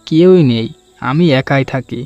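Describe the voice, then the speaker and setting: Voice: medium pitch, unhurried, darkish tone, no echo, dull audio, soft background music, close to an everyday voice. An actor voicing a kindly, cautious village man in a cartoon, with a concerned, hesitant manner. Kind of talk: Bengali children's cartoon voice acting.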